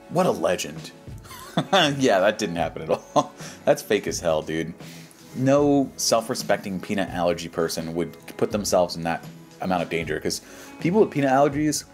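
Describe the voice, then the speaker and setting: A man's voice: low-pitched, a little mellow. A man giving casual, slangy, skeptical commentary and reading posts aloud in a joking way. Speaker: host of a reaction show. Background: background music.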